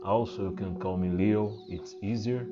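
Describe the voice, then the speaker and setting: A man whose first language is Portuguese, speaking English with a Portuguese accent as a spiritual teacher, halting and hesitant with many fillers and restarts. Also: fairly low pitch, fairly even intonation. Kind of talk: unscripted spiritual talk to camera. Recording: muffled, with singing bowl music behind.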